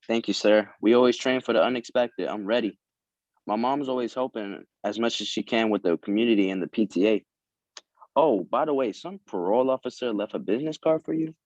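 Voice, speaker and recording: A man's voice: lowish voice, fairly quick, darkish tone, no room sound, close to an everyday voice. A man playing an eager, dutiful young martial arts student in a script table read. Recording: dull lo-fi video call audio.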